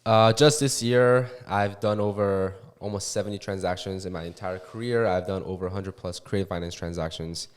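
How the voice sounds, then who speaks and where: A man in his twenties, a real estate investor, talking as a guest in a casual interview. He talks quickly and haltingly, with many restarts and fillers. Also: low-pitched, steady tone, smooth. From Korea and California. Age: 20s.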